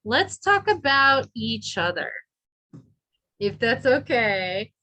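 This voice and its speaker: A woman teaching an adult English class in a high, bright voice. She speaks slowly and patiently and praises her learners often. She spells out each idea so they can follow. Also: very animated. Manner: patient, encouraging, models words slowly.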